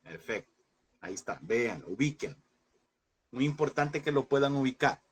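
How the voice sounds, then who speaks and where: A man teaching a Bible class, asking his listeners questions, repeating them and slowing down on key points. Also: mid-range voice, lively intonation, slightly rough. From El Salvador. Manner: addresses listeners as brothers, asks and repeats questions; slows and stresses key words.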